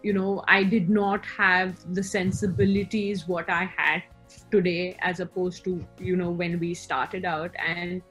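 A woman's voice: fairly low pitch, flat delivery, measured pace, fairly bright tone, low-quality recording. A woman in her thirties with an Indian accent, giving reflective, conversational interview answers and thinking aloud with some hesitation over a video call. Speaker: interview guest, co-founder of a queer community platform. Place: small room indoors, on a video call. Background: background music.

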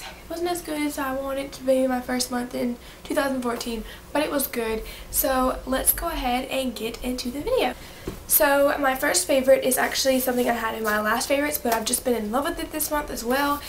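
A young woman chats brightly to a close camera in her bedroom, her nose stuffy from a coming cold. Her voice sits a little high, and she gushes with quick, excited asides. A faint hiss runs under the recording. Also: low-quality recording. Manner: bubbly, gushing, quick asides. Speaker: beauty vlogger. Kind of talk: casual monthly favorites vlog. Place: bedroom, indoors.

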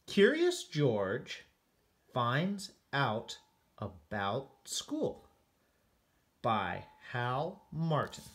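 Male, mid-pitched first grade teacher for a beginner read-aloud; deliberate, separated words with animated intonation. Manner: deliberate, separating each word. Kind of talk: slow word-by-word read-aloud for beginning readers.

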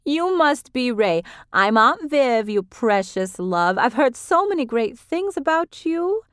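A high, gushing aunt who fusses over everyone, as a woman reads her. She drawls out her sweet words in a bright, lifted voice. Dry, close recording. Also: big pitch swings, slow, no echo, exaggerated voice. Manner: gushing, doting. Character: affectionate, touchy-feely middle-aged aunt.

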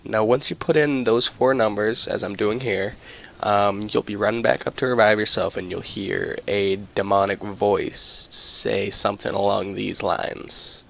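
A man's voice: lowish voice, steady tone, measured pace, gravelly, fairly bright tone. A man narrating a casual video game walkthrough.

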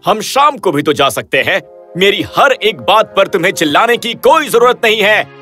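An irritable young husband acted by a man in a cartoon fairy tale. He complains emphatically in a sharp, forceful voice with lively swings of pitch. Faint music sits behind him. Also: normal speed. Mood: annoyed, scolding.

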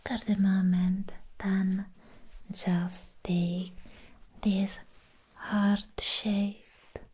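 A woman voicing a sweet, doting vampire girl in an ASMR roleplay, soothing the listener toward sleep in soft, breathy whispers. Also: medium pitch, flat delivery, heavily acted. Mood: playful, caring. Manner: slow, tender, soothing, repeating words.

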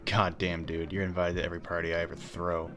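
Low-voiced, exasperated young party guy acted by a man. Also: level tone, background noise. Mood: irritated, brusque. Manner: casual, exasperated, halting. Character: cocky young party host, irritated and dismissive. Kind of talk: ASMR roleplay audio drama.

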